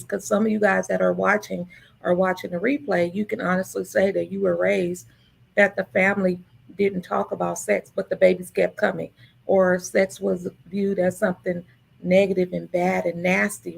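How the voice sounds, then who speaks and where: Host, woman, very gravelly, husky voice, warm affirming interviewer. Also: medium pitch, little pitch movement, normal speed, rough recording. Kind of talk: casual interview talk.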